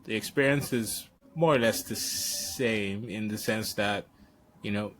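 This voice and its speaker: A man's voice: lowish voice, unhurried, fairly bright tone, slight background noise, low-quality recording. A man who grew up in Nigeria, hosting a podcast interview and speaking hesitantly, often repeating words as he thinks. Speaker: podcast host. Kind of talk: conversational interview.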